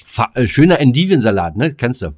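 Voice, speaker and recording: A man's voice: mid-range voice, big pitch swings, brisk, a little mellow, no echo, clean studio sound. A man, co-hosting a casual podcast in a blunt, colloquial way, sometimes talking while eating. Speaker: podcast co-host.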